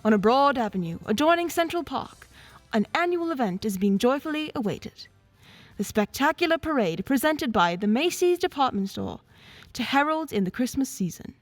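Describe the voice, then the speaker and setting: A woman's voice: sits high, sing-song, moderate pace, no room sound, faint music behind. A woman narrating and presenting a radio play from a script in a formal, announcer-like manner.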